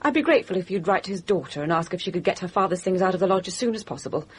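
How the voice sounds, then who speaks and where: A woman's voice: on the low side, animated, very fast, a little hiss or hum, natural voice. A woman playing a reserved, composed and coolly polite young lady in a radio mystery drama. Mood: cool, restrained.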